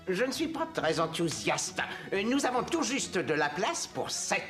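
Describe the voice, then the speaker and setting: Grumpy fussy little cartoon forest guy, very animated, pedantic, bit of music behind. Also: lowish voice, unhurried. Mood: reluctant. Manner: hesitant, objecting, pedantic. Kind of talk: French-dubbed cartoon acting.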